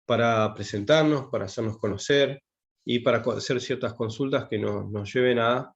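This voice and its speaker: A man, talking at a middle pitch as he gives an online class. He hesitates often and restarts his sentences. The sound is dull and lo-fi, like video call audio. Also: normal speed. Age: 50s. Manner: hesitant, many fillers and restarts. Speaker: taekwondo instructor teaching English.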